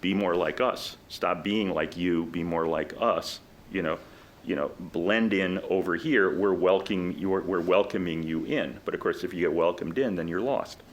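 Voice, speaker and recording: A man's voice: sits low, normal speed. A man speaking as a panelist at a college alumni roundtable, talking in a conversational way with many fillers. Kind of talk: reflective panel discussion. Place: college event room.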